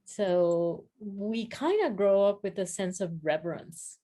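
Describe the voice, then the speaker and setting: A woman's voice: medium pitch, slow pace, mellow. A woman from Sri Lanka, a practice leader guest, speaking thoughtfully on a video call, searching for words and laughing easily. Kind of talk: reflective casual conversation.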